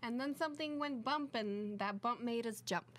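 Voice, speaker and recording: A woman's voice: fairly high pitch, normal speed, a bit bright, a little hiss or hum. A woman co-reading a children's picture book aloud for a story time.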